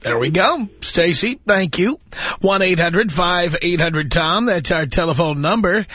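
A man hosting a talk radio show, briskly moving callers along. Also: high voice, animated, sharp tone, no room sound, clean studio sound. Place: radio studio. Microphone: studio mic.

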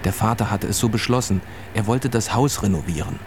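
A man narrating a children's radio play as a storyteller, in deliberate short phrases. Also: lowish voice. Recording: clear traffic and vehicle noise behind. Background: vehicle and outdoor ambience.

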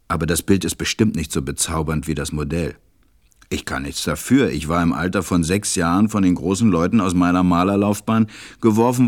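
An actor playing a grown pilot who wistfully recalls his life as a storyteller in a radio play, measured and reflective. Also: deep voice, a little mellow, close to an everyday voice.

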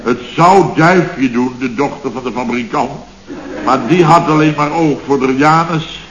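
An actor playing a boastful, blustering contractor boss in a radio comedy serial, telling stories in colloquial, indignant style. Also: male voice, mid-range voice, unhurried, a crowd in the background, natural voice. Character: blustering, self-satisfied male building contractor boss. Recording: dull, noisy old radio recording.

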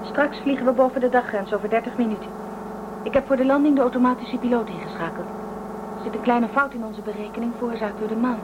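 A woman's voice: fairly high pitch, fast, natural voice. An actress playing a composed, practical shuttle co-pilot in a science fiction radio drama, precise in her speech. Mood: matter-of-fact. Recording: dull, very noisy craft ambience.

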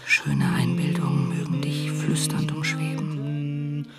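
Solemn, dutiful pupil over music. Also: male voice, a little high, completely flat delivery. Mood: solemn, grieving. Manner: solemn, measured, formal declamation. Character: earnest, stern, dutiful male pupil at a servants' school. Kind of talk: literary radio drama acting.

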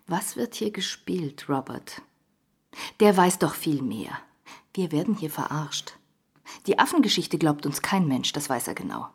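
An actress playing an indignant, suspicious journalist with a breathy, husky voice in a radio drama. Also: female voice, deep voice, sing-song, a little slow, exaggerated voice. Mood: suspicious, hushed. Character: indignant, suspicious woman journalist investigating a politician.

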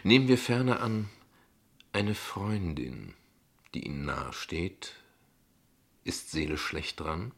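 An actor playing a guarded, ironic father in a radio play, speaking in measured, eloquent, formal sentences. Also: low voice, gravelly, full character voice. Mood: explaining, measured. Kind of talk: radio play dialogue acting.

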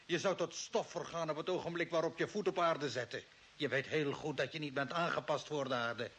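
A man's voice: a little high, a little fast, a bit bright. An actor playing a cold, authoritarian old man from Earth who serves the Martians in an older Dutch radio play, commanding and scornful. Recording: hissy, noisy old radio recording.

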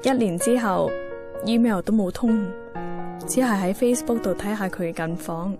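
A woman's voice: mid-range voice, normal speed, over music, close to an everyday voice. An actress playing a wistful young woman with a Hong Kong accent, reflectively narrating a lost love in a radio drama. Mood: wistful, tender.

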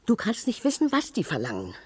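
Husky, anxious worker with a swooping voice. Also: male voice, high-pitched, wildly swooping pitch, fairly quick, dry recording, exaggerated voice. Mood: anxious, warning. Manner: cautioning, dutiful. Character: anxious, obedient male cellar worker, fearful of those above. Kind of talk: German science-fiction radio drama acting.

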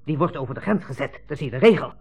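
A man's voice: medium pitch, expressive, very fast, vocal fry, a little mellow, breathy edge, soft background music. A man acting a brusque, cynical army captain in a 1960s Dutch radio play, with an offhand, dismissive manner. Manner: hesitant hemming, offhand, dismissive.

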